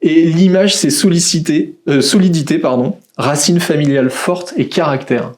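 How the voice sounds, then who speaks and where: A man in his thirties co-hosting a podcast, talking in a halting way with many restarts. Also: fairly high pitch, darkish tone. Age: 30s. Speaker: podcast co-host. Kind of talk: casual interview chat.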